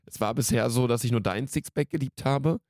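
Man, late twenties, podcast co-host, mid-pitched voice, slangy, jokey, casual banter, dry studio-like sound. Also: a little fast, a little mellow, dry recording. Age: late 20s.

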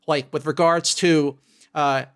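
A middle-aged American man in a casual discussion, making emphatic points in a halting way with repeated phrases. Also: a little high, steady tone. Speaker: film critic, podcast panelist.

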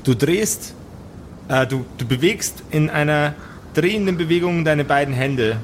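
A man improvising narration as game master of a tabletop role-playing game, halting now and then to search for words. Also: fairly high pitch, a little hiss or hum.

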